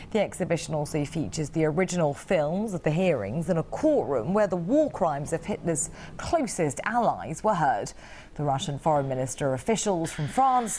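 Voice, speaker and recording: A woman's voice: lowish voice, a little mellow, a little hiss or hum. A woman reading a scripted news report as an anchor.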